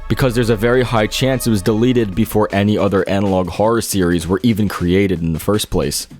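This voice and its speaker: A man's voice: low-pitched, a little mellow. A man narrating a scripted documentary-style video essay. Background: background music.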